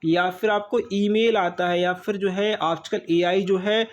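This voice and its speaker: A man with an Indian accent and a high-pitched voice teaches in an informal exam-prep style. He goes through material instructively, repeating key phrases and punctuating them with short check words. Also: steady tone, a little slow, highs cut off. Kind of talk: informal lesson going through multiple-choice questions.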